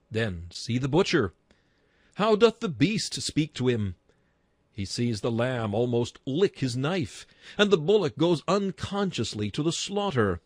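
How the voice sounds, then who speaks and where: Guy doing a dramatic old-style sermon reading, solemn and preachy, mid pitch, really dry recording. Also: dry recording. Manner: solemn, exhortatory, rhetorical. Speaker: narrator reading a historical sermon. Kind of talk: dramatic read-aloud sermon in archaic English.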